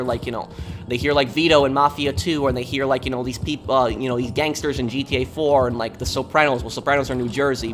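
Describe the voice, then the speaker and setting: A man's voice: medium pitch, a bit bright, a little hiss or hum. Rambling male game streamer from New York. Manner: rambling and talkative, with many restarts. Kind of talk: casual live-stream chat, answering viewers.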